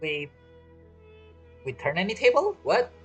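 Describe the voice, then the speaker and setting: Animated, playful game streamer. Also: mid-range voice, measured pace, soft background music. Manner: playful, offhand reactions. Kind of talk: casual gaming commentary.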